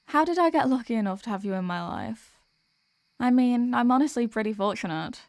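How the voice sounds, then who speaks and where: Demoness girlfriend, played by a woman: tired office worker, tender and chatty, mid-pitched, intimate ASMR roleplay, very dry recording. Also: darkish tone, smooth, dry recording, lightly acted. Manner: chatty, affectionate, intimate address to a partner. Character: sweet, weary demoness girlfriend venting about office work.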